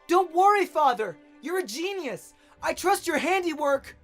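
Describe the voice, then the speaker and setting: Man playing an eager, excitable young boy. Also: very high-pitched, moderate pace, soft background music, voice pushed up, exaggerated voice. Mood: elated. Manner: excitable, reassuring. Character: eager, trusting boy, son of an inventor.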